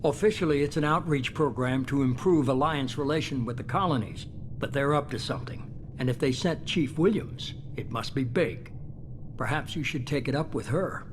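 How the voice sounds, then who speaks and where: A man playing a calculating, authoritative spymaster in a video game, speaking in a measured, commanding way. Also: medium pitch, background noise, lightly acted. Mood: grave, calculating. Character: calculating, authoritative middle-aged male spymaster boss.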